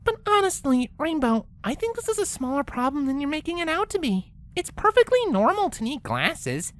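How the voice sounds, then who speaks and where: A woman's voice: high voice, very animated, normal speed, dry recording. A woman voicing a polite, bookish young female cartoon pony princess, speaking with patient, pedantic precision. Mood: reassuring. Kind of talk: character acting in a fan-fiction story reading.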